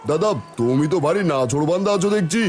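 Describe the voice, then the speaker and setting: Man acting a high-voiced pushy fish seller. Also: animated, fast, faint music behind, natural voice. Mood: firm, bargaining. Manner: hawking, bargaining. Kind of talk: Bengali cartoon voice acting.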